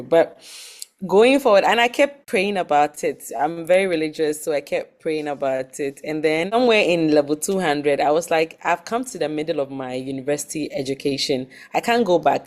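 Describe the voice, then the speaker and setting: Low-voiced Ghanaian woman telling her story. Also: a little mellow. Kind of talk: casual personal storytelling in an interview.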